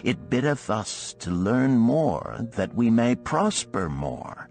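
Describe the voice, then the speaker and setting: A man voicing an earnest, animated musician of ancient Babylon in a storybook parable, with archaic, oratorical phrasing. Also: mid-range voice, takes it slowly, soft background music, voice pushed up. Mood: inspired.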